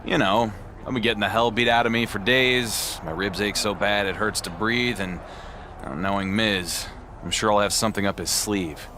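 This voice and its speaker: A man's voice: on the low side, fairly even intonation, moderate pace, noisy background, close to an everyday voice. A man playing a battered, self-doubting wrestling champion in a video game cutscene. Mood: tired, grateful.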